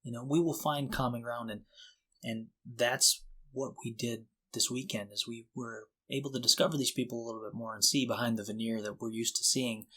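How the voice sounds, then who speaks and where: A middle-aged man talks casually as a podcast co-host. His voice sits at an average male pitch, and he comes across as reflective and sincere, speaking in a conversational way. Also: fairly even intonation, a little fast, bright, forceful tone, rough recording.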